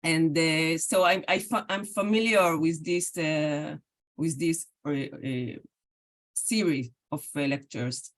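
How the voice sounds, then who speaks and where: Israeli woman lecturing with halting fillers. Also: deep voice. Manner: halting, with many fillers and restarts. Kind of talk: academic lecture over video call. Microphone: video call.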